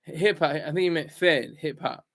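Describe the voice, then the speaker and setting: A man hosting a casual solo commentary vlog, rambling and restarting his words, swinging between loud bursts and low asides. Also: normal speed. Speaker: commentary channel host.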